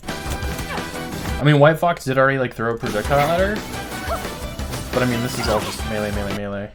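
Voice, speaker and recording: A man hosting a live stream, reacting to a game trailer in casual, excited, rambling talk full of "like". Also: mid-range voice, fairly quick, over music. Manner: excited, rambling, heavy use of "like". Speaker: streamer host.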